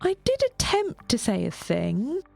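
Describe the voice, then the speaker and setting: A woman's voice: a little high, big pitch swings, a little slow, creaky, faint music behind, voice pushed up, exaggerated voice. A woman playing a wry, playful female office colleague who teases but cares, in an audio drama. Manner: playful, ironic.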